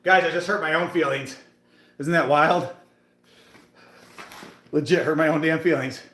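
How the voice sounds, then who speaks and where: A man's voice: fairly high pitch, fairly even intonation, measured pace, noisy background. Chatty man in his thirties, echoey gym. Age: 30s. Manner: chatty, hyped, talks to viewers in the chat. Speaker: fitness livestream host. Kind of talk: casual live-stream chat while working out.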